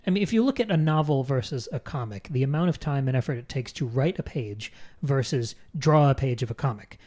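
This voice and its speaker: A man with a mid-pitched voice chats casually as an interview guest. He is candid and self-deprecating, and swears freely as he explains his creative work. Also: animated, brisk, darkish tone. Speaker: podcaster and audio drama creator being interviewed.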